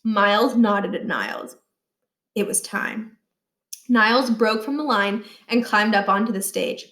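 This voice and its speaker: A woman reading a children's book aloud as a storyteller, with expressive scripted narration. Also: medium pitch, measured pace. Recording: roomy, echoey indoor sound.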